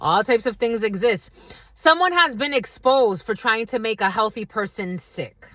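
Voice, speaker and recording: A woman's voice: a little high, animated, moderate pace, sharp tone, no room sound. Rambling tarot reader woman, dry close sound. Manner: rambling, intuitive, addresses viewers directly. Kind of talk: channeled tarot reading.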